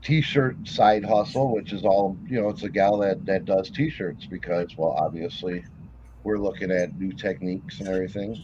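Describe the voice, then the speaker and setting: A man co-hosting a livestream in relaxed, rambling casual chat. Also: low voice, normal speed, muffled recording, noisy background. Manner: rambling, laid-back, trailing off. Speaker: co-host.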